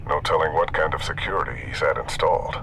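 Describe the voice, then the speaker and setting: A man's voice: very deep voice, quick pace, creaky, fairly bright tone, slight background noise, full character voice. A man playing a concerned, supportive tech expert in a video game, speaking earnestly and with caution. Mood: supportive, cautious.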